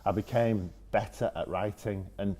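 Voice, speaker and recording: A man's voice: lowish voice, steady tone, moderate pace, dark tone. A man with a Northern English accent telling casual personal anecdotes in an informal, self-deprecating way, as an interview guest. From Leeds, northern England.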